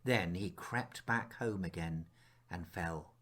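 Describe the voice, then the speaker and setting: A man narrating a children's picture book as its author, telling it expressively with dramatic pauses and hushed moments. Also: low-pitched, husky. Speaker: author reading his picture book aloud.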